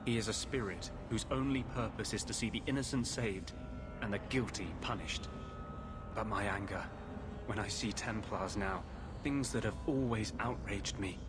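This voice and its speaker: An actor playing an anguished, idealistic rebel mage healer in a video game, speaking with grave intensity. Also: male voice, fairly low pitch, background noise, lightly acted. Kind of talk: dramatic video game voice acting. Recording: loud music behind the voice.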